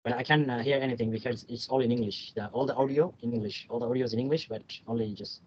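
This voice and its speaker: Male language student on a video call, halting and filler-heavy, mid pitch, dull call audio with faint noise. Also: steady tone. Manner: halting, many fillers and restarts. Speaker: language learner. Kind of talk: casual online tutoring chat.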